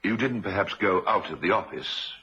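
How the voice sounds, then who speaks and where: An actor playing a measured, inquisitive police doctor in a vintage radio crime drama. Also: male voice, deep voice, lively intonation, bright, forceful tone, breathy edge, highs cut off, exaggerated voice. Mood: questioning.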